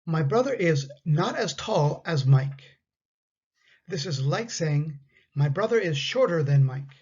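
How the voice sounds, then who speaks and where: A man from Canada with a Canadian English accent, teaching an English grammar lesson in slow, carefully spoken example sentences. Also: fairly high pitch, lively intonation, a little mellow, slightly rough, dull audio.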